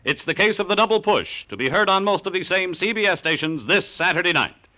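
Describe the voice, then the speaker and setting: A man with an American accent reads announcements in a vintage radio style. His voice sits slightly high and rings bright and crisp with a formal, polished delivery, on an old broadcast recording. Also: lively intonation, a little fast.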